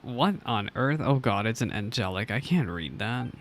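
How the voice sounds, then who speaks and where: A woman's voice: rumbling low, animated, moderate pace. A woman giving casual, joking reaction commentary as she plays a game. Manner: joking, chattering over the game. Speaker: game streamer. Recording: game music behind the voice.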